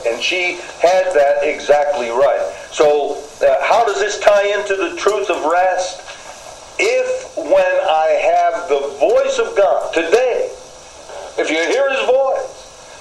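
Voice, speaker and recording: An older man preaching a sermon, deliberate, with folksy asides to his listeners. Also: high voice. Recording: roomy echo, faint background noise.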